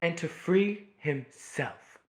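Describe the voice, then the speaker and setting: An actress playing a humble, wronged mother in a stage drama, sorrowful and husky. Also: on the low side, takes it slowly, darkish tone. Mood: wounded, bitter. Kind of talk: theatrical stage drama acting.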